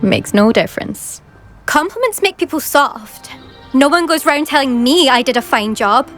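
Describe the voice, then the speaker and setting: Cocky high-voiced British schoolgirl racer, music behind. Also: expressive, normal speed, fairly bright tone. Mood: haughty. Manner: boastful, brusque, dismissive. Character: cocky, competitive teenage girl broom racer at wizard school. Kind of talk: video game character dialogue. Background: game music.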